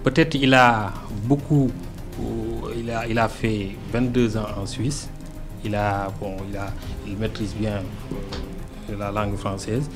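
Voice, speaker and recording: A man's voice: on the low side, level tone, takes it slowly, music in the background. A man with a Senegalese French accent, not a native French speaker, speaking as a guest in a political radio interview, earnest and hesitant, with many fillers and restarts. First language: Arabic-educated, not a French native speaker.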